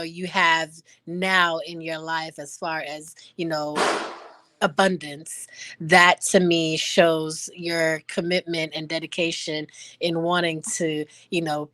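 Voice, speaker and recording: A woman's voice: deep voice, a bit bright, no echo. A woman hosting an interview, appreciative of her guest and leaning on "you know" fillers. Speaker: host.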